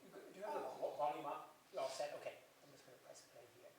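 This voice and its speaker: A man's voice: fairly high pitch, fast, vocal fry, darkish tone. A man speaking to a festival audience, chatty and digressive as he introduces recorded clips. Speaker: festival speaker presenting an interview. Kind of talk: informal talk to an audience. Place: event hall. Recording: echoey hall, voice from a distance.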